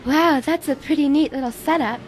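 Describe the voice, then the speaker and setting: Woman playing a high-pitched policeman's wife. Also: brisk, slight background noise, close to an everyday voice. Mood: curious. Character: exasperated but curious policeman's wife on telephone. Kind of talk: noir radio drama acting. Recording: music playing behind the voice.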